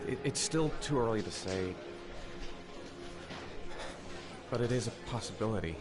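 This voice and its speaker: A man's voice: fairly low pitch, animated, fast, noisy background, natural voice. An actor playing a distraught, hesitant father in a video game drama, stammering and unsure of himself. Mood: anxious, subdued. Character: distraught, hesitant father of a missing young son. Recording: game music behind dialogue.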